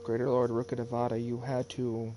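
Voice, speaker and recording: A man's voice: mid-range voice, completely flat delivery, a little fast. A man doing casual live commentary as a game streamer, sometimes reading dialogue aloud. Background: game music.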